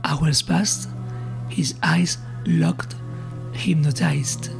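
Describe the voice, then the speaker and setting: A man narrating a horror story in short, ominous, halting phrases that often drop to a breathy hush. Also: a little high. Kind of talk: slow dramatic scripted horror narration. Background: eerie suspense music.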